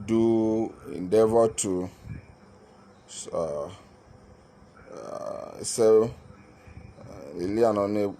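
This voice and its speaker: A man's voice: on the low side, dark tone, slight background noise. Earnest man talking on a live stream. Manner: halting, with frequent "uh" fillers and restarts; earnest, addresses viewers directly. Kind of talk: casual live-stream talk to viewers.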